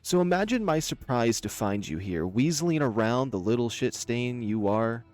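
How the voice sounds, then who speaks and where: A man's voice: medium pitch, expressive, normal speed, a little mellow, soft background music, voice pushed down, natural voice. A man voicing a huge barbaric lioness warrior in a visual novel, venomous, taunting and snarling.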